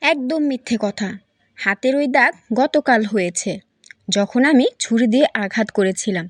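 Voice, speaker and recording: A woman's voice: a little high, expressive, moderate pace, dry recording. A woman playing a young wife in a cartoon who questions and firmly accuses suspects. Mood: accusing. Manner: inquisitive, firm, accusing. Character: young wife turned sharp sleuth.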